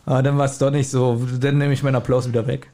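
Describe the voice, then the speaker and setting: A man's voice: mid-range voice, level tone, fast, dark tone. A man, bantering casually as a podcast host.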